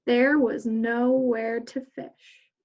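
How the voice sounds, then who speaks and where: A woman's voice: on the high side, little pitch movement, drawn-out words, highs cut off. A woman teacher reading a picture book aloud to children in an instructional way.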